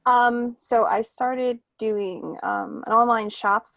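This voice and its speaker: A woman's voice: on the high side, fairly even intonation, takes it slowly, creaky, fairly bright tone, no room sound, clean studio sound. A woman guest on a podcast, an artist, chatting informally and with some self-deprecation about herself. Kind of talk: casual interview chat.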